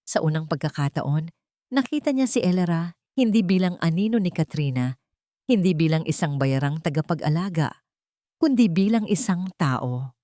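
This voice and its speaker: Low-pitched woman narrating a romance story. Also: expressive, moderate pace, warm tone, no room sound, clean studio sound.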